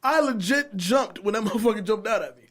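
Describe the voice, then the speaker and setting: A middle-aged American man hosting a casual podcast, telling stories in an animated, slangy, profane way. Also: high voice, quick pace. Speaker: podcast host.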